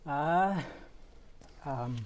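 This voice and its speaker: A man's voice: on the high side, very slow, slight background noise. A man co-hosting a comedy show, speaking hesitantly in short bits. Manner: hesitant, terse. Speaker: co-host.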